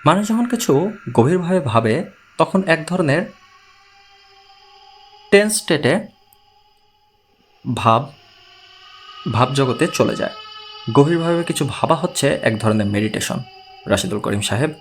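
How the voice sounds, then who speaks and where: A man voicing a patient, analytical male investigator in an audiobook story, explaining and questioning in a measured way. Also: a little high, lively intonation, soft background music. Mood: calm, analytical.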